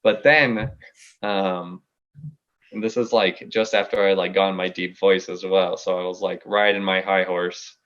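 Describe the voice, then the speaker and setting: Deep-voiced American teenage guy, slightly dull sound. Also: level tone, measured pace, a bit bright. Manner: playfully argumentative. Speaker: podcast guest, music artist. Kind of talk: casual chat, storytelling.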